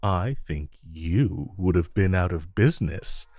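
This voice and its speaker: A man playing a smug, flirtatious supervillain in a romantic audio roleplay, coaxing and teasing the listener with drawn-out phrasing. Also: deep voice, expressive, darkish tone, no echo, full character voice. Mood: dry, insistent.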